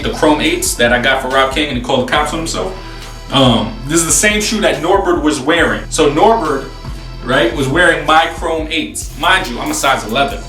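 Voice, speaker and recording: Roomy-sounding New York man telling stories. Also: on the high side. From New York City, USA. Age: 20s. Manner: slangy, profane, animated retelling with acted-out dialogue. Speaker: host. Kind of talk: casual storytelling to camera. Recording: roomy echo, distant mic, faint music.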